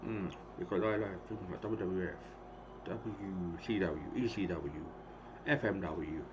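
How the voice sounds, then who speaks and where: A hoarse man in his thirties casually calling the action of a wrestling game he is streaming, rambling and clearing his throat often. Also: sits low, slow. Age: 30s. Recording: dull lo-fi stream audio with clear noise.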